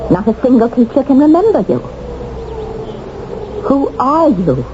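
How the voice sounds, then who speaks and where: A woman's voice: high voice, very animated, measured pace. An actress playing an intense, emotional young woman set on avenging herself on her torturer, in 1970s radio drama style. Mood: tense. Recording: muffled old radio broadcast, faint hiss.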